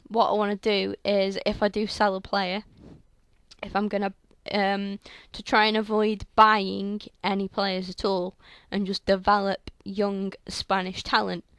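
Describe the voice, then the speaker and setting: A young woman with a sore, croaky throat, chatting through video game commentary on a headset mic in a rambling way full of fillers. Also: mid-range voice, completely flat delivery, a little slow, no room sound. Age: young adult. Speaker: gaming channel host. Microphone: headset microphone.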